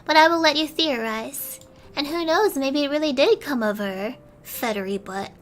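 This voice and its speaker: Woman, ASMR storyteller, soft and whispery, high-pitched. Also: moderate pace, a little hiss or hum. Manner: soft-spoken, sometimes whispering. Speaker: streamer.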